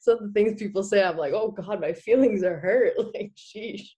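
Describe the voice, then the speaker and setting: A woman in her twenties from the Czech Republic, chatting as a guest in a video-call interview, animated and quick to laugh. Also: medium pitch. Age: 20s. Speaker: guest, former college hockey player. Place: small indoor room. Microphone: laptop webcam on video call.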